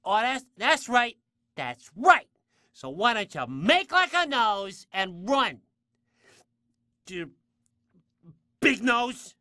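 A man's voice: very high-pitched, sing-song, slow, long pauses, bright, forceful tone, no echo, clean studio sound, full character voice. A man voicing a cocky, wisecracking little undead sidekick in a comic video game, with a showy drawl. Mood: taunting.

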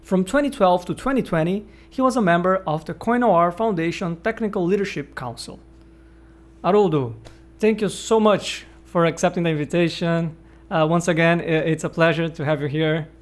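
A middle-aged man hosting a podcast interview, reading an introduction in a measured, friendly way from his home office. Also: on the high side. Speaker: podcast host.

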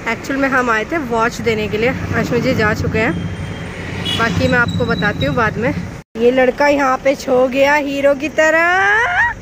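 A woman's voice: high voice, lively intonation, measured pace. A woman chatting casually to the camera in a family vlog, teasing and talking to viewers as she walks through a city and a mall. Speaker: family vlogger. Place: outdoors in a busy city and a shopping mall. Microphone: phone camera. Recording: loud crowd and street noise. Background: crowd and traffic noise.

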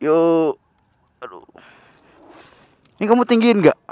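A young man chatting excitedly in a casual riding vlog, recorded on a helmet camera while on a motorbike in the city. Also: on the high side, slow pace, no echo. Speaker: motovlogger. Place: on a motorbike on city streets, outdoors. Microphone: helmet-mounted action camera. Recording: loud wind and traffic noise.

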